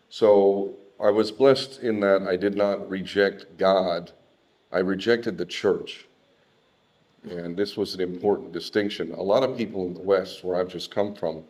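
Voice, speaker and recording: A man with an American accent speaks at a talk about his own life. His voice sits low and moves very little in pitch. He talks haltingly and reflectively, repeating words as he finds his way. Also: a little mellow. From Southern California. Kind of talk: personal testimony in an unscripted talk.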